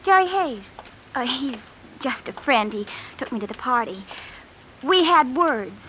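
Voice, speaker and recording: A bright, coy young woman. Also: high voice, big pitch swings, a little slow, dull audio, slight background noise, heavily acted. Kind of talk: 1950s radio drama acting.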